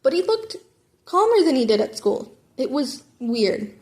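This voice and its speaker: A woman's voice: sits high, very animated, unhurried, darkish tone. A woman reading fan fiction aloud as an amateur narrator, stumbling over words now and then. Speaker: amateur audiobook reader.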